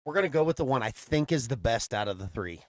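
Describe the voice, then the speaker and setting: A man's voice: medium pitch, fast talker, no room sound, studio quality. An American man from Kansas chatting casually as a podcast co-host, telling stories with swearing and acted-out dialogue. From Kansas, United States. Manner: animated, profane, acts out quoted dialogue. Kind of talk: casual chat and storytelling.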